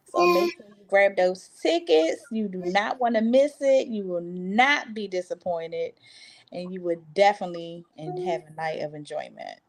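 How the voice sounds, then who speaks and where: American woman, mid-pitched, slightly noisy. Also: pushed and bright. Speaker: interview guest. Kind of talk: casual interview talk.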